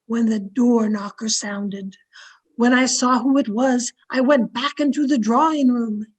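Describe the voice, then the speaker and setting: A woman playing a devoted servant who tells the story in a radio drama, measured and dutiful. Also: fairly high pitch, steady tone, husky. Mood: reflective. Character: Ellen, devoted old female servant telling a story.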